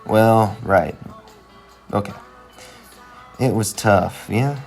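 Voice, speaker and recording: A man's voice: fairly low pitch, drawn-out words, creaky, a little mellow, soft background music, exaggerated voice. A man voicing a moody, nostalgic young man in a cartoon, speaking in an earnest, hesitant, melodramatic way. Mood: earnest, wistful. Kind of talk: animated comedy-drama dialogue.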